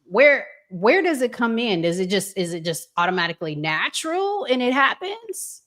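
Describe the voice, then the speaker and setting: A woman hosting a casual interview chat, putting questions to her guest with deliberate, inquiring phrasing. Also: on the high side, big pitch swings, fairly bright tone. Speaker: show host.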